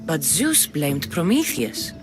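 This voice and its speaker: An actress playing a young, wry and determined mercenary warrior in a video game. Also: female voice, low voice, sing-song, takes it slowly, over music, heavily acted. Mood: probing, wry. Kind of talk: video game cutscene acting.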